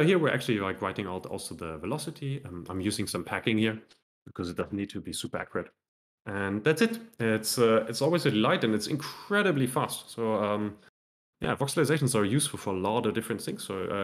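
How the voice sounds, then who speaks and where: A man in his thirties presenting a technical meetup talk from home over a video call, relaxed and self-deprecating, with lots of fillers and restarts as he demos software live. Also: fairly low pitch.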